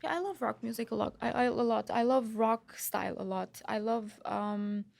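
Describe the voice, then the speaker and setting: Female singer from Lebanon as a guest, casual and halting, with a raspy, fry-edged tone. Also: on the high side, darkish tone. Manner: halting, repeats phrases, many fillers. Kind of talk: casual interview chat.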